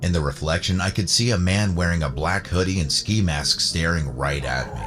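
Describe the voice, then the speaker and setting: A man's voice: deep voice, moderate pace. A man narrating a scripted first-person story. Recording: music bed under the voice. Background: background music.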